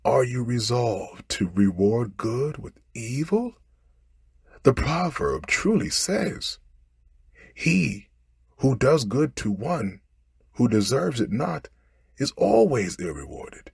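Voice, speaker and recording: A man's voice: mid-range voice, very animated, slow pace, creaky, a little mellow, husky, dry recording, clean studio sound, voice pushed up, exaggerated voice. A man voicing a humble fisherman from a storybook, imploring in formal, old-fashioned phrases. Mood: distressed, reproachful. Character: humble, pleading male fisherman, clever under threat. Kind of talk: storybook character voice.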